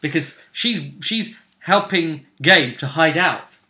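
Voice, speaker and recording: A man telling the story of a tabletop role-playing session as a host, in a casual, halting way, acting out bits of dialogue as he goes. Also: fairly high pitch, animated, sharp tone.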